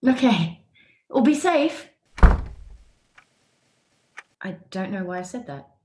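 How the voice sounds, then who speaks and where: A woman's voice: medium pitch, very animated, slow, reverberant, very noisy. An actress in a radio play playing a flippant young woman who talks in a breezy, coaxing way. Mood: uneasy.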